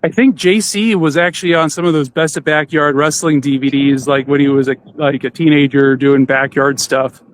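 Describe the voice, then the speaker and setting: A man's voice: a little high, steady tone, normal speed, hardly any pauses, slight background noise. A man hosting a podcast in a casual, conversational way. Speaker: podcast host.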